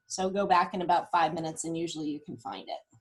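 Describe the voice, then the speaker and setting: A woman giving an informal walkthrough of data, thinking aloud as she goes in a halting way. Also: low-pitched, steady tone, darkish tone. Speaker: guest presenter explaining data; cancer research nurse.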